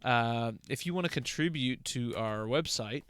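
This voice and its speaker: A man with a clean, smooth voice recorded close and dry. He talks as a podcast host in casual banter, teasing his friends in a joking way. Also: mid-range voice, moderate pace, fairly bright tone, dry recording.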